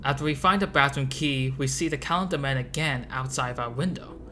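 A narrator reading a scripted video essay about a game in a measured, explanatory way. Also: mid-range voice. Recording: loud background music. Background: loud music.

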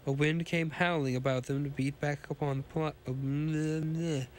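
A hoarse man with a cold, hosting a podcast and reading a pulp science fiction story aloud, often stumbling and restarting lines. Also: a little high, steady tone, moderate pace, slight background noise.

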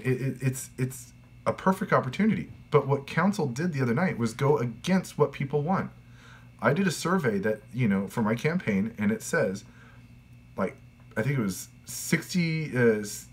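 A man in his thirties, a local candidate, speaks earnestly straight into his camera from a room at home. His voice sits low and carries a husky edge. He stumbles and restarts often, pushing on with plain conviction. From California, USA. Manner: earnest, frequent restarts and fillers. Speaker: city council candidate. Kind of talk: campaign vlog to camera. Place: bedroom at home, indoors.

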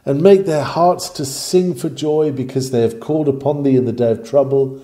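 A man's voice: medium pitch, fairly even intonation, normal speed, mellow. A man reading an old sermon aloud in an earnest, declamatory manner with formal, old-fashioned phrasing. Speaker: reader of a historical sermon.

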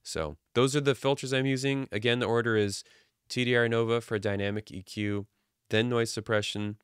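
A man in his thirties casually walking through his microphone filter settings from a small quiet home studio, relaxed and jokey. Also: mid-range voice, moderate pace, clean tone, no echo. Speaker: streaming audio tutorial host. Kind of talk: casual screen-share tutorial. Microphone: studio dynamic mic on boom arm.

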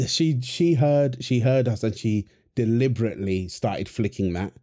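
A man's voice: medium pitch, moderate pace, warm tone. A man performing a wholesome audio roleplay as a husband and dad, teasing his partner and cooing fondly at their baby with a playful manner.

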